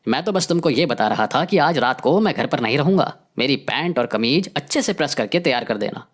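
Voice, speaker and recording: Woman voicing a deep, animated, scheming village man. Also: a little fast. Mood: scolding. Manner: emphatic, scolding, colloquial. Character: cocky village man, scheming, bossy, quick to scoff. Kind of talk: animated Hindi moral story dialogue. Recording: music behind the voice.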